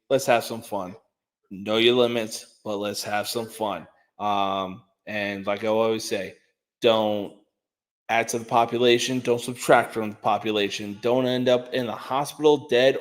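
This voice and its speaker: A man hosting a casual sports talk show, easygoing and bro-ish. His voice sits at a middle pitch for a man. He talks loosely, with plenty of hearty agreement. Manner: loud laid-back bro talk, says "hell yeah" a lot. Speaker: podcast host.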